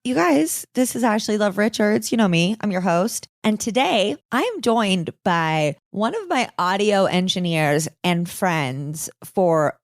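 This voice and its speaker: A woman's voice: mid-range voice, animated, unhurried, few pauses, no echo, studio quality. A woman hosting a podcast, telling stories in an informal, sweary, chatty way. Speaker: podcast host. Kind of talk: casual storytelling chat.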